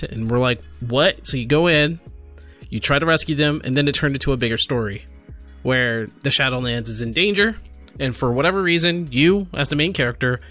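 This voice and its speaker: A man giving casual, unscripted gaming commentary and reading aloud, in a halting, apologetic manner. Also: medium pitch, bright, forceful tone. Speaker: gaming video creator.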